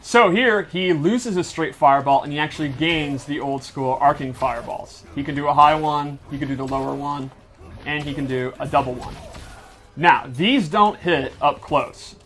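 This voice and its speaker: A man with a slightly high voice walks through gameplay moves in a casual way. He sounds like a keen developer, explaining mechanics with enthusiasm and slang. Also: moderate pace, a bit bright. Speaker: game developer demonstrating fighter moves. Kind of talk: casual gameplay demonstration commentary.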